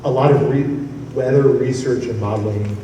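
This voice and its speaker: A man speaks in a conference panel, his voice distant and caught in a big echoey hall. He rambles through his answer, restarting phrases and chuckling now and then. A steady wash of room noise sits under him. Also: mid-range voice, moderate pace. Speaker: weather service forecaster on a panel. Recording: echoey hall from far off, noisy.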